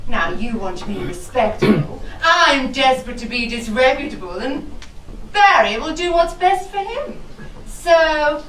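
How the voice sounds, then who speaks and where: A woman's voice: high-pitched, animated, a little slow, a bit bright, soft background music. An actress playing a brazen, scheming society woman with arch, teasing rhymed delivery in a comic costume drama. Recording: echoey stage, far from the mic.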